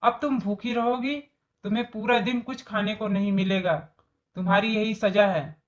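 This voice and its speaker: A woman's voice: mid-range voice, steady tone, moderate pace, close to an everyday voice. A woman voicing a bossy, scheming young daughter-in-law in a storybook tale, scolding and commanding. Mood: angry, threatening.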